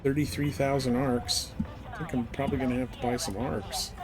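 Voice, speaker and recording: A man's voice: mid-range voice, fairly even intonation, a little fast. A man giving casual, live commentary as he streams a video game.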